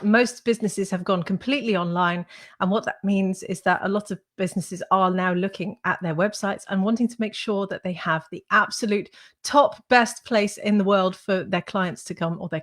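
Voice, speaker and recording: A woman in her thirties, slightly hoarse from a cold, hosting a live-stream interview over a video call with an upbeat, chatty manner. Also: medium pitch, fairly bright tone. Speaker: host and school founder. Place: home room with shelves. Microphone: webcam on video call.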